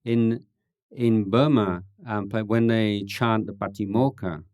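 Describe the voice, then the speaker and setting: A man, a Buddhist monk, answering questions at a meditation retreat in a thoughtful, halting way, with dry gentle humor and many pauses and ums. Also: fairly low pitch, steady tone, dry recording. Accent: English. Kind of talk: relaxed Dhamma Q&A talk.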